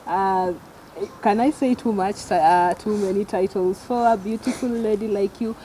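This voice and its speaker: A woman's voice: medium pitch, a little slow, dark tone, slight background noise, rough recording. A woman hosting a conversational interview show.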